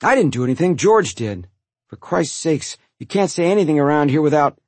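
A man voicing a surly, defiant teenage boy who snarls and yells back in an audiobook story. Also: fairly high pitch, expressive, brisk, slightly rough, no room sound, pitched above the performer's own voice. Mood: defensive, angry. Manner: snarling, growling, yelling.

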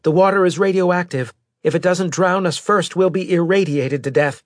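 A man's voice: fairly high pitch, brisk, no echo, studio quality, pitched above the performer's own voice, close to an everyday voice. A man voicing a tense, alert female crew member in a sci-fi audiobook, speaking bluntly and urgently. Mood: alarmed. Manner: urgent, blunt reporting of danger. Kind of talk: audiobook character acting.

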